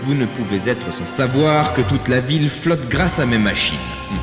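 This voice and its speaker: A man's voice: medium pitch, animated, lightly acted. A man playing a pompous, showman-like president and inventor giving a theatrical speech to citizens in an audio drama. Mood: showman-like pride. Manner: pompous, drawn-out oratory with dramatic pauses. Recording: echoing hall reverb under loud music.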